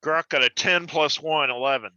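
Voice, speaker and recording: A man with a sharp, forceful, very clear voice talks through his tabletop game turns. He takes his time in casual chat. The recording is dry and a little band-limited. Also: on the high side, moderate pace, dry recording, highs cut off.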